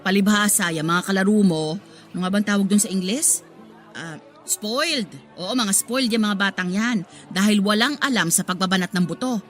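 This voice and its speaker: A woman's voice: mid-range voice, normal speed, soft background music, close to an everyday voice. A woman playing a hard-working, exasperated mother who sells vegetables, pleading and scolding in a Tagalog radio drama.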